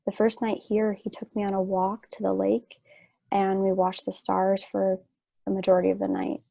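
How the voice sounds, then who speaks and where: Creaky, mellow dying wife played by an actress. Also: female voice, medium pitch, monotone, normal speed, dry recording. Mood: wistful, fond. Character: Aurora, sick dying wife, trusting, wistful. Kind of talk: stage play table reading.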